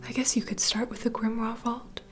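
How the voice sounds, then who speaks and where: A woman playing a knowing, secretive vampire bartender in an ASMR roleplay, speaking in a soft breathy whisper as an unhurried, gentle mentor. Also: fairly high pitch, flat delivery, close to an everyday voice. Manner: unhurried, confiding storyteller. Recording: close whisper over clear ambient noise.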